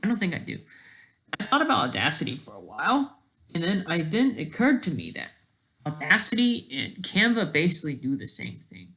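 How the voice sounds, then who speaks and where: Casual video creator, mid-range pitch with heavy vocal fry, rambles and thinks out loud. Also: wildly swooping pitch, fairly quick, low-quality recording. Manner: rambling, thinking aloud, frequently digressing. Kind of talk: casual rambling screen-share commentary.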